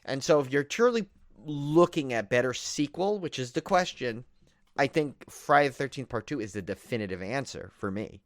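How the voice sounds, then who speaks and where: A man with an average-pitched voice, recorded close and dry. He chats as a podcast co-host discussing films, enthusiastic and rambling, often stopping midway to rephrase himself. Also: lively intonation, no room sound. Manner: rambling, halting, self-correcting, enthusiastic. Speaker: horror movie podcast co-host. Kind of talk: casual film discussion.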